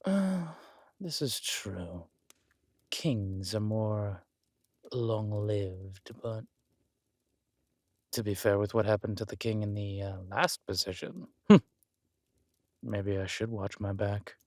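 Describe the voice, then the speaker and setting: A man's voice: lowish voice, lively intonation, takes it slowly, pauses often, a little mellow, no echo, clean studio sound. A man playing a villainous conqueror king in a romantic roleplay audio, smug and flirtatious, teasing and haughty as he draws out his words. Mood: wry, musing.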